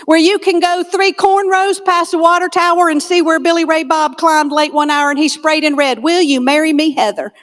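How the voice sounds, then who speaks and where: A woman's voice: very high voice, level tone, normal speed, hardly any pauses, fairly bright tone. Southern woman keynoting in a reverberant hall. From Georgia, US. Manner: dramatic storyteller, drops to a near whisper on key lines, comic asides. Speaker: motivational keynote speaker and comedian. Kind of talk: humorous motivational keynote storytelling. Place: conference hall stage. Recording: long reverb of a large hall.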